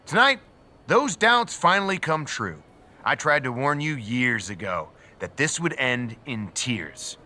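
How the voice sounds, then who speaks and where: A man's voice: mid-range voice, sharp tone. An actor playing a sneering, agitated male schemer in a wrestling game, theatrical and emphatic. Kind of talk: scripted wrestling drama cutscene.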